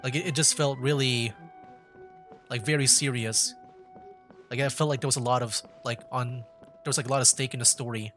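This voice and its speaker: A male streamer with a medium-pitched voice chats casually over faint game music. He rambles haltingly through his commentary, often doubling back on his own words. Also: fairly even intonation, a bit bright. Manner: rambling, halting, repeats himself. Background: video game music.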